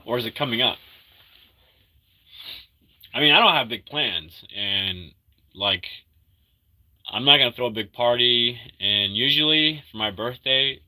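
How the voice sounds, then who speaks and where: Bright-voiced man rambling on a livestream. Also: mid-range voice, poor audio. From Argentina. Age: 30s. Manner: rambling, halting, full of fillers and restarts; upbeat when greeting viewers. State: sick. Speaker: livestreamer reading chat. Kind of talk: casual live-stream chat.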